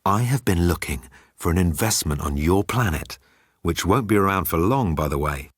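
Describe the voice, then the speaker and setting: A man's voice: deep voice, expressive, normal speed, husky, no echo, studio quality. A man voicing a sly, cocky alien swindler who is mocking and condescending toward humans. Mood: sly, persuasive.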